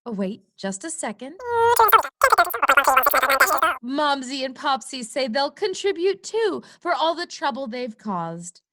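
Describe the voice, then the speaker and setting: A woman's voice: sits high, sing-song, slow, long pauses, full character voice. An actress playing a naive, girlish rich daughter, a gushing and childlike client in a comedy radio play.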